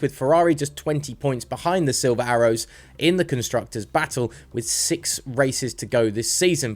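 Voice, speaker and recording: A man's voice: medium pitch, a little mellow. A man presenting motorsport news as a scripted narration.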